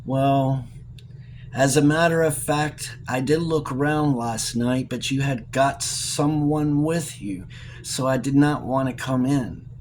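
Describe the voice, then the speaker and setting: A man's voice: medium pitch, steady tone, slow, a little mellow, a little hiss or hum, voice pushed up, natural voice. A man voicing a plucky, hard-up young woman in an audiobook, chatty and cheerfully wry. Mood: wry, sheepish.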